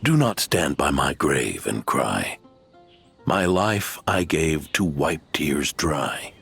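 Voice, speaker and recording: An actor playing a solemn, weary old knight in a video game, slowly reciting in a gravelly, husky voice. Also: male voice, very deep voice, very animated, a bit bright, soft background music, heavily acted. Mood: solemn, mournful. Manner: slow, poetic recitation.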